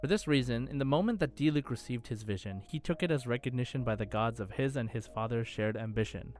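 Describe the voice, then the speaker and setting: A man co-hosting a casual gaming quiz podcast, chatting in a halting way and repeating words as he thinks aloud. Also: medium pitch, darkish tone, faint music behind. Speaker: podcast co-host and quiz contestant.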